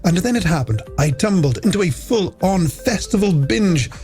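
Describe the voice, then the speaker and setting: A man's voice: high voice, expressive, moderate pace, a little mellow. A man presenting a late-night music radio show in chatty, playful, scripted patter.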